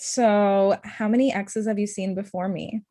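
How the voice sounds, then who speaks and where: A woman's voice: medium pitch, steady tone, measured pace, dark tone, dry recording, studio quality, close to an everyday voice. A young woman acting a blunt, hurt, confrontational ex-girlfriend in her thirties in a comedy-drama table read. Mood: blunt, cold. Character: Amita, blunt, hurt ex-girlfriend, thirty-five, sharp-tongued lawyer.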